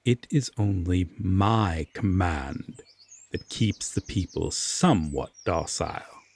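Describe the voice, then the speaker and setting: Slow, solemn Fae king, acted by a man. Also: sits low, expressive, husky, exaggerated voice. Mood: pleading, warning. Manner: slow, formal, measured, with sighs and pauses. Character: solemn, regretful Fae king, secretly tender and flusterable. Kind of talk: slow audio roleplay drama addressed to the listener.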